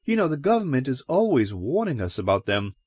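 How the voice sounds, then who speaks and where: A man's voice: medium pitch, big pitch swings, a little fast, a little mellow, slightly rough, no echo, clean studio sound, pitched above the performer's own voice. A man voicing a friendly, cautious boarding-house landlady who talks in a chatty, neighborly way.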